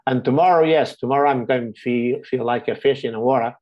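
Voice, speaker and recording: A man whose first language is Portuguese, speaking as a director on an online panel, warm and jokey. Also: mid-range voice, brisk. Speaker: organization director. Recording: dull lo-fi computer audio.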